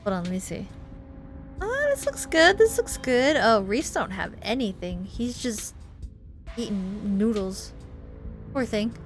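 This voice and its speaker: A woman's voice: medium pitch, sing-song, unhurried, long pauses. A woman doing let's play commentary, reading a visual novel aloud and reacting expressively. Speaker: gaming video host. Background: game music.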